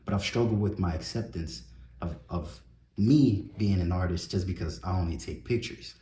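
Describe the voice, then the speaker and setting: A young adult man hosting a casual interview, relaxed and reflective, with many fillers. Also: sits low, moderate pace, roomy sound, slight background noise. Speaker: interview host, photographer.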